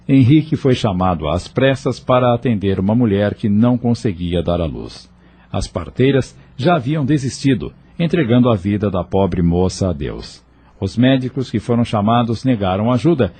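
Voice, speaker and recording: A man's voice: fairly low pitch, sing-song, normal speed, mellow, breathy edge. A man with a Brazilian accent giving scripted narration for an audio novel.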